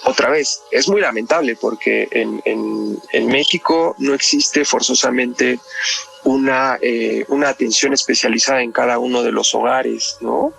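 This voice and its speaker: Man, Mexican accent, heavy vocal fry, conversational podcast explainer, frequent tag questions, faint music behind. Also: medium pitch, measured pace, a bit bright. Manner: constantly checks with tag questions. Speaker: psychologist guest.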